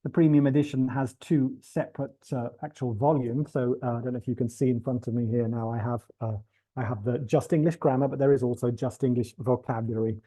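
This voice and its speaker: A man giving an unscripted webinar presentation for teachers, pausing often with fillers and restarting his sentences. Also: medium pitch, fairly even intonation, mellow. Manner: hesitant, many fillers and restarts.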